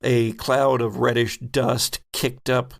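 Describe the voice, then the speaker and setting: A man working as game master, narrating improvised tabletop scenes in a measured, halting, descriptive way. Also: mid-range voice, little pitch movement, vocal fry.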